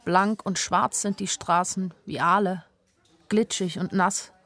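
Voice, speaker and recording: A woman's voice: fairly low pitch, steady tone, slow pace, a bit bright. An actress playing a weary, lovesick young woman who narrates a radio play in an introspective, lyrical way. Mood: anxious, weary. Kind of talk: literary first-person radio play narration. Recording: studio radio play with faint music.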